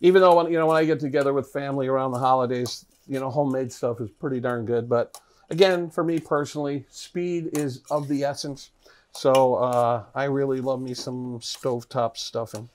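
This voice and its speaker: A man's voice: medium pitch, fairly even intonation, brisk, a little mellow, a little hiss or hum. A middle-aged man hosting a cooking show from a kitchen set, chatting upbeat about football while he cooks. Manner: chatty, upbeat sports talk. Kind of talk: casual chat while cooking.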